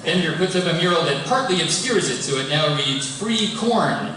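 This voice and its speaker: A man's voice: fairly high pitch, animated, normal speed. A man reading scripted stage directions as narrator of a live comedy table read. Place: indoor live event before an audience. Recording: echoey hall, distant mic, clear noise.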